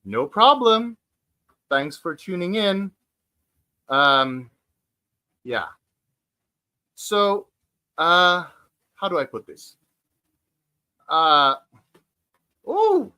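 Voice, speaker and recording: A man of forty hosting a casual livestream chat from home, speaking hesitantly with many fillers and restarts and pausing to read out viewer comments. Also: high voice, sing-song, pushed and bright. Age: 40s. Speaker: livestream host. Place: at home, sitting on the floor.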